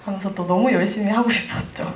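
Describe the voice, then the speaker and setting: A woman's voice: medium pitch, fairly even intonation, fast talker. A woman speaking as an actress on a post-screening panel, telling stories in a polite, chatty way. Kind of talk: casual panel talk. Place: cinema hall. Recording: roomy, distant mic, faint noise.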